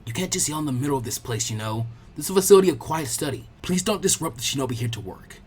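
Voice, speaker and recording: A man voicing a calm, middle-aged head librarian in an audiobook story, measured and mildly chiding. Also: a little high, slightly rough. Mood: mildly stern. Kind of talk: fan fiction audiobook character voice.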